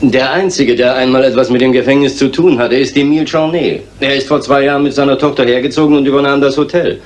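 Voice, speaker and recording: An actor playing an earnest village police sergeant, matter-of-fact and deferential, in a German radio crime drama. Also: male voice, mid-range voice, fairly even intonation, fast, slight background noise, lightly acted. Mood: earnest, puzzled.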